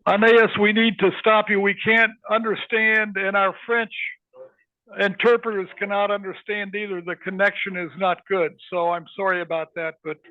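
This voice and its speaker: A man's voice: high-pitched, no room sound. A man moderating a workshop on a video call, polite but firm as he keeps speakers moving. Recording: tinny phone-like call audio.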